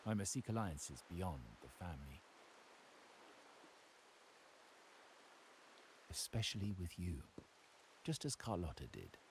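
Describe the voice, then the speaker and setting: A proud, scheming heir from a video game, with a very deep voice that swings widely in pitch. He speaks formally and deliberately, with menace under the courtesy. A clear hiss sits behind the voice. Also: expressive. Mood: cool, guarded. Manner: measured, formal, veiled menace. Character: proud, calculating male heir of a powerful family. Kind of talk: scripted video game dialogue.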